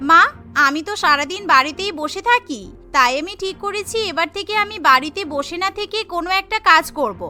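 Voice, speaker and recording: A woman's voice: extremely high pitch, animated, brisk, bright, forceful tone, soft background music, exaggerated voice. A woman voicing a vain, boastful young wealthy bride with a haughty, showy manner in a cartoon. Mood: self-satisfied.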